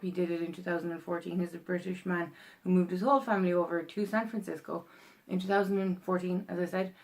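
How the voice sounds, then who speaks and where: A young Irish woman vlogging in a rambling, chatty way, full of ums and restarts as she explains a process. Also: on the low side. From Ireland. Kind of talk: casual explainer vlog.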